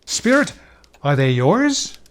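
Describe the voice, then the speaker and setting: A humbled older man in a storybook tale, acted out by a male reader. He is apprehensive and hesitant, picking out his questions slowly. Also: fairly high pitch, sing-song, pitched above the performer's own voice. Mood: appalled.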